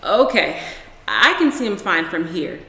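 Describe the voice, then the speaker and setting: A squeamish storybook mother, acted out by a woman reader, emphatic and easily alarmed. Her pitch swings widely, and the room rings with long reverb. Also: very animated, measured pace, bright, forceful tone, full character voice. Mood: wary. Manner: exclamatory, emphatic. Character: squeamish, dramatic young mother, easily frightened by frogs. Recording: roomy echo with long reverb.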